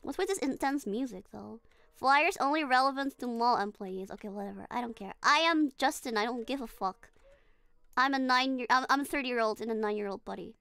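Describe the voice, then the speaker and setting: A woman's voice: on the high side, fairly quick, vocal fry, pushed and bright, smooth, no room sound, faint music behind. A woman streaming a horror game, chatting casually, giggling and talking to her character. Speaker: VTuber streamer. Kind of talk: casual gaming livestream chat.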